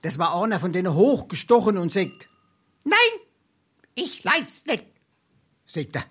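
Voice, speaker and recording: A penniless, wily braggart in a Hessian dialect comedy. His delivery swoops widely, with theatrical pauses and a thick local Darmstadt flavour as he spins tall tales. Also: sits high, sing-song, a bit bright, slightly rough, heavily acted. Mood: wistful, dramatic. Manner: theatrical, braggartly, hesitating for effect. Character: boastful, wily penniless male scrounger spinning tall tales. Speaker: stage actor.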